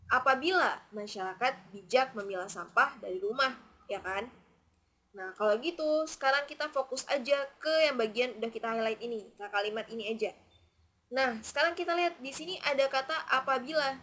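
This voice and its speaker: A woman's voice: sits high, a bit bright, slight background noise. A woman tutor patiently walking students through a logic test question and asking them questions as she goes.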